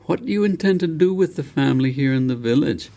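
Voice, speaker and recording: A man reading as a twinkly old council elder from a storybook, pitched up from his usual low, creaky voice. He banters playfully and likes to tease. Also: expressive, quick pace, dark tone. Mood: curious.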